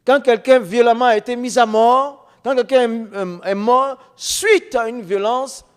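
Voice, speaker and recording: High-voiced man preaching in a reverberant hall. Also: steady tone, a little slow, clear, resonant tone. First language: non-French mother tongue. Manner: emphatic, repetitive, rhetorical questions. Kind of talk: testimony preaching to an audience.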